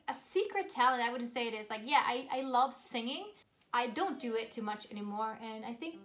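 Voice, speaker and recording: Swedish woman, 30s, upbeat vlogger, a bit high, soft music underneath. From Sweden. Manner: bubbly, upbeat and chatty. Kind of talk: casual Q&A vlog.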